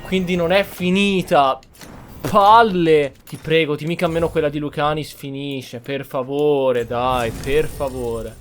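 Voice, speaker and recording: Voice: mid-range voice, steady tone, normal speed. Italian streamer: casual gameplay reactions, middle-range voice, informal tone, faint music underneath. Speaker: streamer playing and commenting. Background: faint game music.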